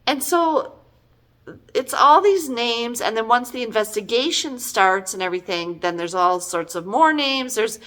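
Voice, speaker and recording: High-voiced woman, animated and exasperated. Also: measured pace. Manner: exasperated, emphatic, conversational. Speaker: book reviewer. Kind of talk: casual, chatty book review.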